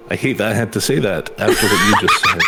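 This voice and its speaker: A man with a lowish voice and animated intonation chats casually as a stream co-host. Music plays in the background. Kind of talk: casual chat. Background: game music.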